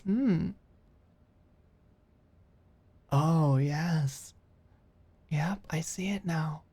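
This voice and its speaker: Woman acting an earnest, slow-spoken female office manager. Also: low-pitched, slightly rough, full character voice. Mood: teasing, flirty. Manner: halting, sighing, emotionally expressive. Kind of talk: intimate ASMR roleplay audio drama.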